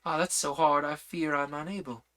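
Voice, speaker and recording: A man voicing a gentle queen who speaks softly in a cooing manner while reciting a riddle in a storybook reading. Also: on the high side, fairly even intonation, moderate pace. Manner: soft, cooing, dreamy.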